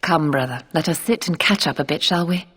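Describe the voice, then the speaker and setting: An actress playing a warm, frank young noblewoman and loving sister in a video game, speaking earnestly and directly. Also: low voice, a little fast, slightly rough. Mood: gentle.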